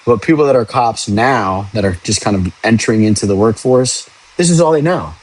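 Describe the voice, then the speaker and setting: A man's voice: fairly low pitch, animated, a little fast, darkish tone, rough recording. A young man who works as a police officer, chatting casually and frankly on a livestream, rambling and swearing now and then. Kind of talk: casual livestream chat answering viewers.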